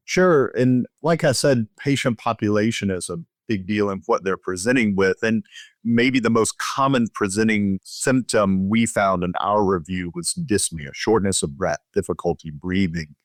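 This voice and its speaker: A man with a low-pitched voice speaks as a physician being interviewed. He explains clinical points in a conversational way, recorded dry with very little room sound. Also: normal speed, no echo. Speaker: physician, podcast guest.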